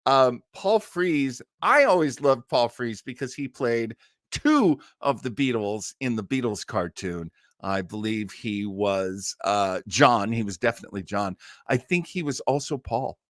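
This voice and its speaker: A man's voice: mid-range voice, expressive, unhurried, fairly bright tone, no echo, studio quality. A middle-aged or older man hosting a live online listening party, chatting casually and enthusiastically like a devoted fan. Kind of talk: casual live chat introducing a radio cast.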